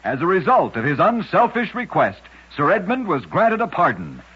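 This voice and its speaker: A man hosting an old-time radio program, narrating scripted strange tales in a dramatic, deliberate storyteller's delivery. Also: sits high, wildly swooping pitch, slightly rough. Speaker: radio host and narrator of oddities. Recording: dull lo-fi vintage radio recording.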